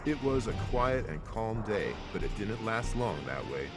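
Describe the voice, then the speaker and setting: A man's voice: on the low side, big pitch swings, normal speed, loud music behind the voice. Solemn soldier recounting his war. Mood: grave recollection. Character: soldier narrator recounting a war mission, weary and grave. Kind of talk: scripted first-person war narration.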